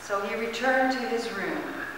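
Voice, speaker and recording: A woman narrating a story as a dramatic reading, with expressive, theatrical phrasing. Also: on the high side, a little slow. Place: large church, distant camera mic. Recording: echoey, noisy hall from far off.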